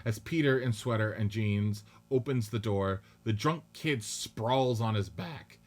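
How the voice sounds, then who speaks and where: Low-voiced man reading stage directions. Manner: measured, matter-of-fact reading. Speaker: narrator.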